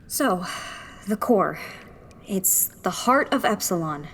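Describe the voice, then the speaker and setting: A woman's voice: medium pitch, lively intonation, slow pace, exaggerated voice. Woman playing a determined rebel engineer. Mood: focused. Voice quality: earnest, takes charge, explains plans. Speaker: rebel leader. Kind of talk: sci-fi audio drama acting. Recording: loud music over the voice.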